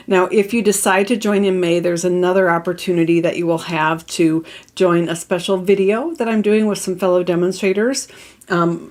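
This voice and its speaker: Congested, chatty woman craft host. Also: lowish voice. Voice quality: voice not strong, cough drop in mouth. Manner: chatty and friendly, many fillers, laughs at herself. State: congested from a cold. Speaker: craft demonstrator hosting a live stream. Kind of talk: casual live craft tutorial chat. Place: home craft room.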